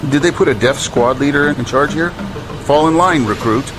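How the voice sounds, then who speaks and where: An actor playing a harsh, domineering military drill sergeant in an audio drama, commanding and sarcastic. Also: male voice, a little high, normal speed, noisy background, lightly acted. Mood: stern, commanding. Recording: music behind the voice.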